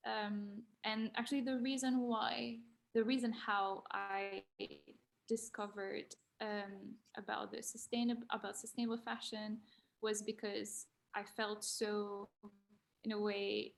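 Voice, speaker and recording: Gravelly young woman, non-native English. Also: medium pitch, flat delivery, slow. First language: not English. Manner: hesitant, candid, self-deprecating. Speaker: design graduate panelist. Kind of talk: reflective panel talk.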